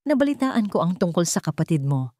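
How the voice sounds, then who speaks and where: A dry recording of a scheming man voiced by a woman storyteller with a Filipino accent, fairly low. He sounds measured and earnest, insinuating under feigned concern in a dramatic story. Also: big pitch swings, warm tone, no room sound.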